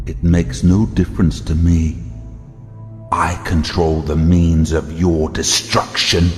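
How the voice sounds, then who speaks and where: An actor playing a cold, vengeful villain in an audio drama, commanding minions in imperious, deliberate declamation. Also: male voice, low-pitched, little pitch movement. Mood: cold, bitter. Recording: reverberant hall, faint music behind.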